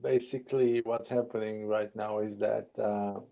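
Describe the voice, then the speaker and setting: A man's voice: lowish voice, flat delivery, creaky. Bulgarian-accented man, blunt and dry-witted. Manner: dry joking, blunt and swearing, halting restarts. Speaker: podcast co-host. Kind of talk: casual podcast chat. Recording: dull lo-fi sound.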